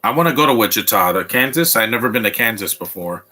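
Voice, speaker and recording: Bright-voiced man rambling through film commentary. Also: lowish voice, expressive, fast, slightly rough. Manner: rambling, stop-start with repeated restarts. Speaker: movie commentator. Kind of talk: casual live movie commentary.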